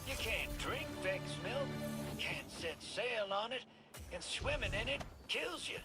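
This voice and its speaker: A brash alien pirate in a video game. The voice is high and swings widely, with a cheeky, blunt drawl of irreverence. Loud music runs behind it. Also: male voice, animated, a little slow. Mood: scornful. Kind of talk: video game character acting.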